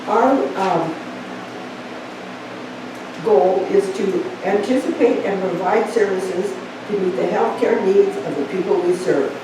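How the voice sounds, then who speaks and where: A woman's voice: medium pitch, slow, long pauses. A woman board member talking briefly and informally during a meeting. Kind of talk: informal meeting talk and short reading. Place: public meeting room, indoors. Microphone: distant room microphone. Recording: very echoey, noisy room, distant mic.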